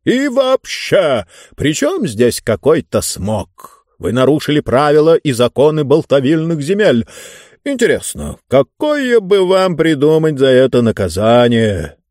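A man's voice: on the high side, wildly swooping pitch, a little mellow, no echo, clean studio sound, voice pushed up. A man voicing a pompous, greedy tyrant who savours words theatrically in an audiobook. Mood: scornful, indignant. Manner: theatrical, drawn-out, emphatic delivery. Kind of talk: audiobook character voice.

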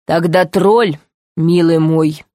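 A power-hungry lord of the underworld, acted by a woman narrator, speaks slowly in a lowered voice. He is calm and mock-gentle, giving cruel storybook menace in a deliberate, commanding manner. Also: dry recording, clean studio sound, close to an everyday voice. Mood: mock-sweet menace. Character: calm, menacing male underground ruler, mockingly sweet, power-hungry villain.

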